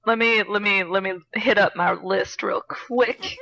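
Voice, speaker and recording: A woman's voice: mid-range voice, steady tone, unhurried, creaky, pushed and bright. A woman co-hosting a podcast in casual, playful conversation. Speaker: podcast co-host.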